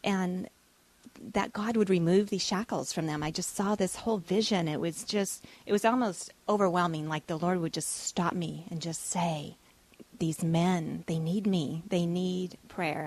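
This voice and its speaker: A woman's voice: lowish voice, fairly even intonation, normal speed, a little mellow, rough recording. A woman from Texas with a Texan accent, a radio show guest telling a heartfelt personal testimony. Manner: earnest, heartfelt storytelling.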